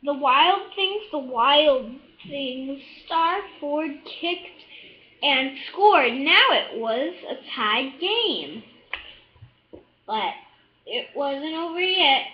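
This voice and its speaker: A child reading a picture book aloud in a halting, expressive way, adding little asides to the listener. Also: sits high. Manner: halting, expressive, with drawn-out words and excited shouts. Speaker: storybook reader. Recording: echoey room, slightly dull sound.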